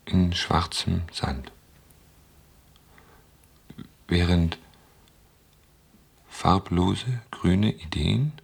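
A very low-voiced, slightly husky artificial intelligence for a radio play. It is calm and immovable, weighing each word slowly as a machine with a conscience would. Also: male voice, full character voice. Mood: trancelike, detached. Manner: slow, measured, deliberate. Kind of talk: German radio play acting.

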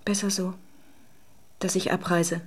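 A woman's voice: fairly low pitch, little pitch movement, unhurried, slightly rough. An actress playing an emotional, effusive married Russian woman in a German radio drama. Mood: subdued, resigned. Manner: theatrical, emotionally volatile, effusive.

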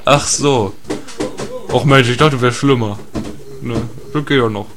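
A man's voice: medium pitch, unhurried, background noise, lightly acted. A man playing a naive, chatty tourist in an improvised absurd audio comedy, hesitant and repetitive, often tacking on tag questions. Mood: placid, chatty. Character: chatty, naive male tourist, placidly captured.

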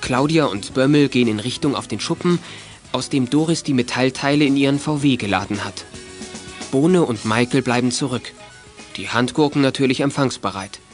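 A man's voice: mid-range voice, moderate pace, faint music behind. A man giving scripted narration for a youth detective radio play.

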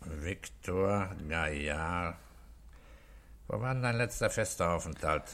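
Gruff middle-aged police boss, lowish voice, bossy and curt, old 60s radio drama. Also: male voice, animated, slow, a bit bright, exaggerated voice. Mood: stern, pressing. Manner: brusque, authoritative. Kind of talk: 1960s German radio play acting.

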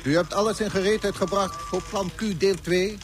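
An actor in a Dutch radio drama playing a formal mission controller who gives orders in a clipped, official way. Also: male voice, high-pitched, normal speed, faint music behind, close to an everyday voice. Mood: commanding.

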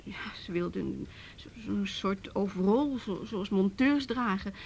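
A woman's voice: a little slow, gravelly, a little mellow. An actress in a radio play playing an anxious, distraught mother who stammers and repeats her words. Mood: anxious, halting. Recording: faint noise and low rumble.

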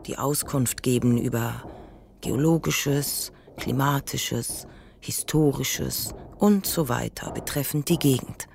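Low-voiced sober female narrator. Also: slow, creaky, darkish tone, slight background noise, full character voice. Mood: sober, measured. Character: sober female narrator observing an ageing widower. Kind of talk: literary radio play narration.

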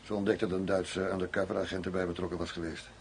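Casting: wry middle-aged undercover cop, very low male voice, measured, world-weary, radio drama with slight background noise. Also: level tone. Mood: weary, reflective. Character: weary, wry greying German undercover police commissioner. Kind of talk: Dutch radio drama acting. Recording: noisy radio play recording.

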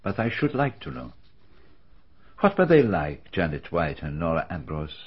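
A man's voice: sits low, very animated, normal speed, slightly rough, heavily acted. An actor playing a polite, probing detective who speaks formally and courteously and calls the woman mademoiselle, in a radio drama. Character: polite, probing man detective of foreign manner. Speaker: detective questioning a witness. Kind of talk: BBC radio drama acting. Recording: dull, muffled archive radio broadcast.

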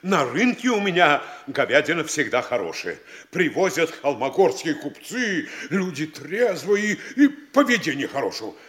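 An actor playing a fawning, panicky, scheming older town governor in a theatrical comedy radio play, obsequious, stammering and pleading. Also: male voice, a little high, sing-song, normal speed, few pauses, a bit bright. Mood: nervous, deferential.

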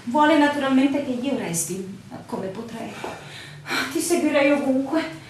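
Anxious devoted fiancée, lively, echoey. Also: female voice, sits high, unhurried. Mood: anxious, pleading. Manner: earnest, pleading, theatrical. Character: anxious, devoted young fiancée pleading for prudence. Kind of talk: Italian radio drama acting. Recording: very echoey, distant, faintly noisy recording.

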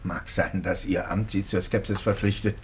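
A man's voice: sits low, fairly even intonation, moderate pace, a little hiss or hum, natural voice. An actor playing an earnest male priest in a 1950s radio drama, who speaks up loyally and emphatically for a friend.

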